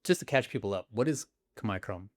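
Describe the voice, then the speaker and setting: Relaxed reflective man host. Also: fairly low pitch, a little fast, dry recording, clean studio sound. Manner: relaxed, reflective, thinks out loud. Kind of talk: casual conversational interview.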